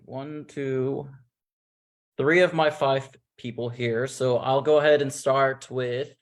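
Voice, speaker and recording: A man hosting an online cast reveal, talking in a rambling, relaxed way with plenty of fillers. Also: mid-range voice. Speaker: host revealing a game cast.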